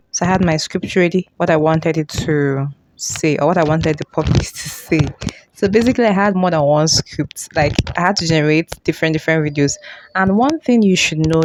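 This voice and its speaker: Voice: mid-range voice, a little hiss or hum, poor audio. A tutorial host explaining things informally, often repeating and rephrasing along the way. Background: music.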